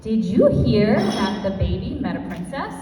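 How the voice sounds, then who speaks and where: A woman reads a playful wedding speech in storybook style, taking it very slowly. She sounds distant, in a large hall with heavy reverb and clear background noise. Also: mid-range voice, big pitch swings. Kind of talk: humorous scripted storybook-style speech read aloud. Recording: very echoey, noisy hall from far off.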